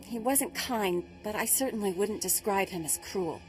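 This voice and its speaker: A woman's voice: medium pitch, moderate pace, music in the background, close to an everyday voice, poor audio. A woman playing a compassionate, earnest research scientist in a video game, articulate and explanatory. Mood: somber. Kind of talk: video game cutscene acting.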